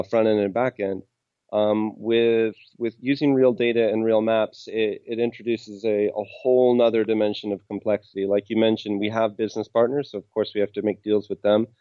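A man's voice: fairly low pitch, dry recording, lo-fi sound. A man from the United States with an American accent, answering interview questions about his game company in a hesitant way, full of ums and restarts.

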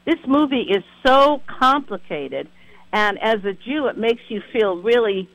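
A man's voice: high voice, animated, measured pace, fairly bright tone, no echo, low-quality recording. A man co-hosting a radio interview, effusive and emotional, with many affirming hums. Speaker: radio co-host. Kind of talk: interview conversation.

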